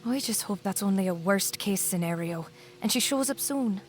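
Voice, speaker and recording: A woman's voice: medium pitch, expressive, normal speed, faint music behind, close to an everyday voice. A woman playing a soothing, attentive wife in an audio drama, polite and reassuring in manner. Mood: politely concerned. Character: soothing, attentive new wife with veiled intentions.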